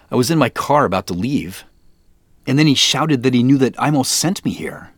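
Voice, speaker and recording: A man's voice: medium pitch, expressive, normal speed, no echo, natural voice. A man acting as a guy on a family quest in an audio drama, in natural, conversational, slightly hesitant dialogue. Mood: pensive, intrigued. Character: Joseph, adult man on a mysterious family quest.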